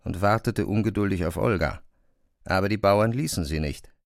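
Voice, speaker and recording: A man narrating a literary radio drama from a script in a measured, restrained way. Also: fairly low pitch, gravelly.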